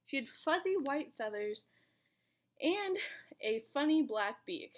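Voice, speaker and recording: Higher-pitched woman reading a children's storybook. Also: expressive, slow. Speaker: volunteer story reader. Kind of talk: children's storybook read-aloud.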